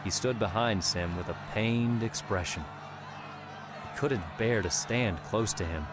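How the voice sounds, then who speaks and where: A man narrating an audiobook in a measured, dramatic storytelling manner. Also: on the low side, background noise. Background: background music.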